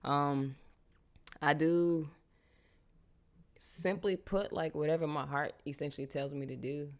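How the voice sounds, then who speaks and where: A young woman from Charlotte, a guest artist talking casually in an interview, animated and full of slang. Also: deep voice, unhurried. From United States, Charlotte. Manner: animated, casual slang, frequent "you feel me".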